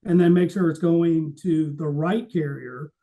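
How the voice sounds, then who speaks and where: A man with a relaxed, dark-edged timbre that sits a little high in pitch. He talks casually about his industry, laying out procedures in a plain, explanatory way. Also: a little slow.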